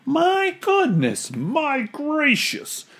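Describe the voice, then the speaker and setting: A man doing squeaky amazed circus folk. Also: huge pitch swings, very slow, vocal fry, a bit bright, exaggerated voice. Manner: high squeaky exclaiming.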